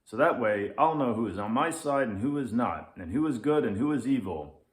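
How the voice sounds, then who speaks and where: A man's voice: medium pitch, expressive, normal speed, natural voice. A man voicing a grumpy, harried male lynx in a children's story, with an emphatic, scolding, impatient manner. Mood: emphatic, lecturing. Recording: roomy echo.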